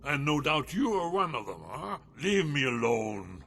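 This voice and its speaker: A man's voice: a little high, very animated, measured pace, a bit bright, breathy edge, full character voice. Wary old man, voice swooping. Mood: suspicious, gruff. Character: wary, weary old male scholar in hiding. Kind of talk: fantasy video game acting.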